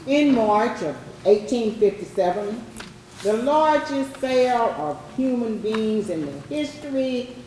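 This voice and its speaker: A woman delivering scripted historical narration in a grave, deliberate manner. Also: a little high, animated, mellow. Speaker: readers theatre narrator. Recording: very echoey, distant mic, faint noise.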